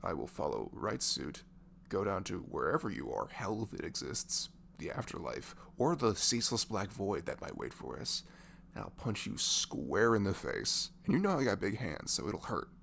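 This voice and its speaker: A man softly improvising an intimate, comforting voice message to a friend, halting and casual, sometimes slipping into a murmur. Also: very animated, slight background noise. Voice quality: low velvety, gravelly. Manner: gentle, halting, drifts into breathy murmurs, casual teasing.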